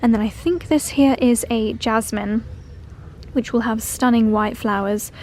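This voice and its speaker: A woman's voice: a little high, steady tone, a little slow, darkish tone, slight background noise. Gushing woman vlogger. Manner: effusive, gushing. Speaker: lifestyle vlogger. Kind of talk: casual vlog.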